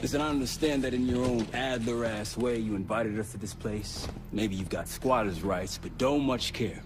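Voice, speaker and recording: A man playing a gruff, guarded American special operations team leader in a cinematic military game. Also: mid-range voice, moderate pace, background noise, close to an everyday voice. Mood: confrontational. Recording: dramatic music behind the voice.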